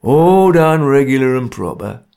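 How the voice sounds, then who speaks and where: A stern London heavy from a storybook, played by a male narrator, gravelly and rough-edged. He lays down the rules in a laconic, mock-official way, full of menace. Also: mid-range voice, sing-song, a little slow, a little mellow, exaggerated voice. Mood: grim authority. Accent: working-class London. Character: grim bullying working-class male conspirator, stern and menacing.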